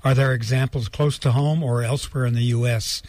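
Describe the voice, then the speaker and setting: A man hosting a radio talk show, calmly summarizing and asking questions. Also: medium pitch, a little fast, no echo.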